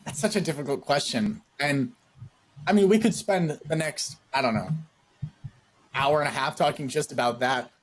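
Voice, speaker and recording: A man's voice: on the high side, animated, moderate pace, rough recording. A man co-hosting a podcast, talking in a casual, encouraging, motivational way. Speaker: podcast co-host.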